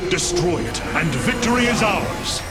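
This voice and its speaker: An actor playing a noble, resolute alien warrior leader in a video game, formal and commanding. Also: male voice, high voice, expressive, measured pace. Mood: urgent, resolute. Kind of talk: dramatic video game voice acting. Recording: loud music behind the voice.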